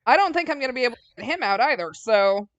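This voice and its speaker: A woman's voice: on the high side, animated, quick pace, no room sound. Easygoing woman recapping a game. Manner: easygoing, wry, recaps the plot. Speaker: let's play co-host. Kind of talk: casual gaming chat and story recap.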